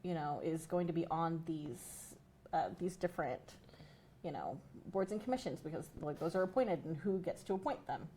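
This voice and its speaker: A woman activist, a guest on a talk show, speaking in an animated way with frequent "you know". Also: low voice, normal speed, slight background noise, rough recording. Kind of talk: casual chat.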